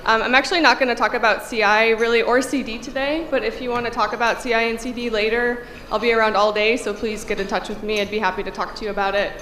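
A woman's voice: on the high side, flat delivery, fast, few pauses, fairly bright tone. Woman, 30s, slightly congested, conference talk, podium mic, reverberant hall. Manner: conversational, frequent fillers. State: congested from a cold. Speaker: conference speaker. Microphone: podium microphone. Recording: echoey hall, faint room hum.

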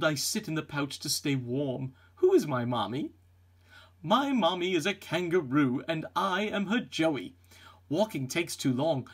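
A man's voice: high-pitched, a bit bright, slightly rough. A man reading a picture book aloud expressively for children. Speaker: storybook reader.

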